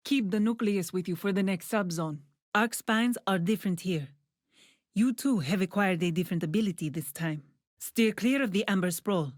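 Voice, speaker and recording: A woman acts as a composed scientist and tactical guide in a video game, her voice at a middle pitch. She gives instructions in a measured, businesslike way, focused yet encouraging. The sound is close and dry, as from a studio. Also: no room sound, close to an everyday voice. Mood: focused, instructive. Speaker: video game character giving mission instructions. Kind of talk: scripted video game voice lines.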